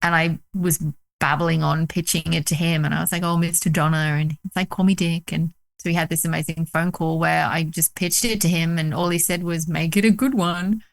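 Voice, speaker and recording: Woman, gravelly, lowish voice, excited storyteller, video call guest. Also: brisk. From United Kingdom. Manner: excited storytelling, many fillers. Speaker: documentary director, guest. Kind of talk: casual interview chat.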